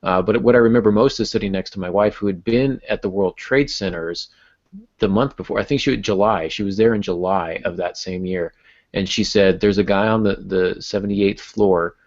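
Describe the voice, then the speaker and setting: A man's voice: lowish voice, normal speed, highs cut off. A man hosting a podcast, talking through ideas and examples in a casual, conversational way. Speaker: podcast host.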